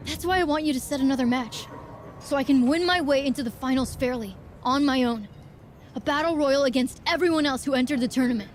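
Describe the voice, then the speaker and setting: A woman's voice: high-pitched, fairly quick, slight background noise, close to an everyday voice. A woman playing a proud, sarcastic female wrestler in a video game cutscene, with a blunt, firm manner. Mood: determined.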